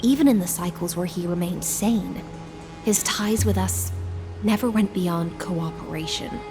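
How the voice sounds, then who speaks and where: A woman's voice: on the low side, unhurried, over music. An actress playing a loyal, earnest female knight who speaks with courtesy. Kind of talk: video game voice acting. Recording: game soundtrack behind.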